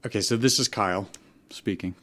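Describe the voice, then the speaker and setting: A man's voice: lowish voice, a little fast, fairly bright tone. A man co-hosting a show, chatting casually and introducing pieces to the group. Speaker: co-host of a fanfiction reading show.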